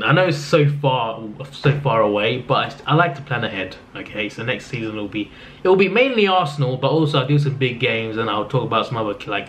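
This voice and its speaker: A man with a fading voice, rambling through a casual football recap in a subdued tone, with lots of restarts. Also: on the low side. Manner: subdued, flat-sounding delivery; rambling, with frequent restarts and fillers. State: losing his voice. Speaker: football vlogger. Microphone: camera mic. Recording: slightly dull lo-fi audio with faint noise.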